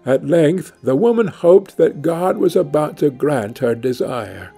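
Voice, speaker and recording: A man reading a fairy tale as scripted narration, in a measured, deliberate storytelling manner. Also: fairly high pitch, expressive, creaky, dark tone, husky. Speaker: audiobook reader. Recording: music under the voice.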